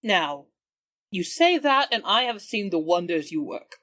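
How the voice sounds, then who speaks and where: A woman's voice: medium pitch, sing-song, a little slow, fairly bright tone, no echo, clean studio sound. A woman improvising in-character conversation as a tabletop game player, polite and appreciative.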